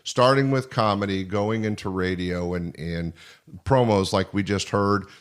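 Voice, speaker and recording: Older male interviewer, lower-pitched, relaxed chat style, small studio setting. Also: a little slow, smooth. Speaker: podcast host, interviewer. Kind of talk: casual conversational interview.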